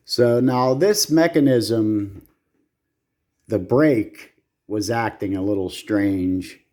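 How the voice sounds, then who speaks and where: A man's voice: fairly low pitch, takes it slowly, darkish tone. A man giving casual, hands-on repair commentary in a blunt, salty way, swearing freely as he goes. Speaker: repair video host.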